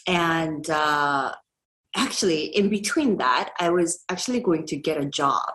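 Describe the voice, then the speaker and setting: Woman, 40s, lower voice, rambling podcast guest. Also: expressive, a little slow, a bit bright. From South Korea. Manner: chatty and rambling, with frequent laughs and fillers. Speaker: guest entrepreneur. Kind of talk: casual podcast interview.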